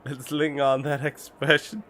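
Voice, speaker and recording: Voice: medium pitch, little pitch movement, moderate pace, fairly bright tone. A game streamer giving casual live commentary on a video game.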